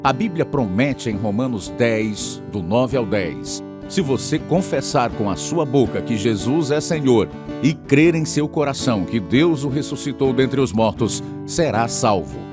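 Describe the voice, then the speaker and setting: A man with a Brazilian accent reading a scripted radio announcement in a formal, clearly paced way. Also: medium pitch, animated, unhurried, music in the background.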